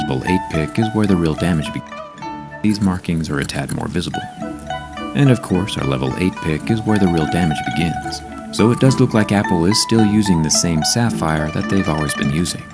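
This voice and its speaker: Man, very low voice, lively intonation, scripted review narration, music underneath. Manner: upbeat, explanatory, product-testing tone. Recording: narration with clear background music. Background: background music.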